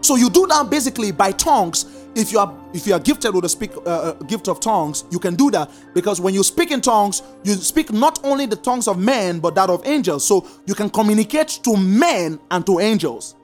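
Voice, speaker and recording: A preacher teaching a congregation, stressing points in slow drawn-out repeated commands. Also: mid-range voice, faint music behind. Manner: lively sing-song emphasis, slow drawn-out repeated commands. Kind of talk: sermon teaching.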